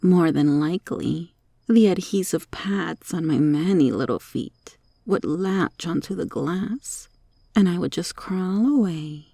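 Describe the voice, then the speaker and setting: A woman voicing a sly, teasing monster cockroach girl in an ASMR roleplay, taunting and coaxing with deliberate, sometimes whispery delivery. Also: lowish voice, gravelly, mellow, breathy edge, no echo, studio quality, heavily acted. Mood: mocking.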